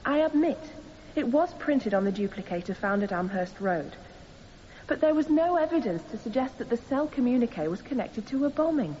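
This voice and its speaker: An actress playing an earnest, defiant young woman on trial, speaking deliberately in a radio drama courtroom. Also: a little high, lively intonation, mellow, natural voice. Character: earnest young female anarchist defendant defending herself. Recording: muffled, hissy, long hall reverb.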